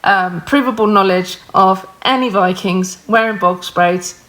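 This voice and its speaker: A woman's voice: mid-range voice, a little slow, a bit bright, rough recording. A woman from Iceland speaking straight to camera, explaining in a pointed, schooling tone. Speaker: TikTok creator replying.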